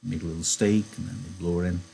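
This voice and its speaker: A man voicing a weary, gruff but protective ranch hand in a novel reading, speaking in a subdued, wooden monotone. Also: deep voice, fast, slight background noise, rough recording. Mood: resigned.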